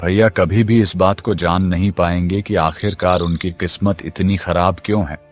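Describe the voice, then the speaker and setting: A man's voice: low-pitched, fairly even intonation, normal speed, natural voice. A man playing a boastful, spiteful younger brother and village chief in a cartoon, haughty and taunting. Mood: angry, bitter. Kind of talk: Hindi cartoon story acting. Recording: music behind the voice. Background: music.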